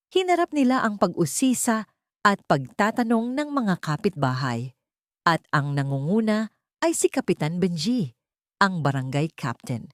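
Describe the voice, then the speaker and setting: A woman narrates a scripted drama as an audio storyteller. Her voice sits on the low side for a woman. The sound is dry, with very little room in it. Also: no room sound.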